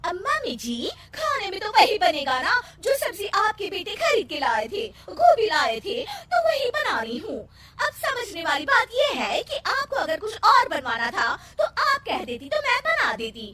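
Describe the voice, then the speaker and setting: Super high bright cartoon young wife, cheeky chatterbox, goes round in circles, bit distant on the mic. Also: female voice, wildly swooping pitch, measured pace, few pauses, full character voice. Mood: chatty, defensive. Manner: rambling, circular, mock-modest chatter. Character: chatterbox young daughter-in-law, cheeky and long-winded. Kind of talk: Hindi cartoon moral story acting. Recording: distant mic, faint noise.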